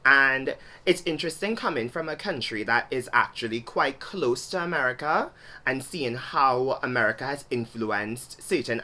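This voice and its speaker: Young man, Trinidadian accent, bright clear tone, thoughtful explainer, solo vlog. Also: medium pitch. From Trinidad and Tobago. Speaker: vlogger. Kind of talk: solo talk-to-camera vlog.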